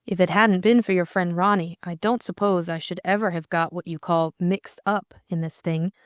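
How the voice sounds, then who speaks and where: A woman's voice: on the low side, fairly even intonation, normal speed, clean tone, no room sound, studio quality, natural voice. A woman voicing a spirited upper-class young woman in an audiobook drama, direct and no-nonsense. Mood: brisk, impatient.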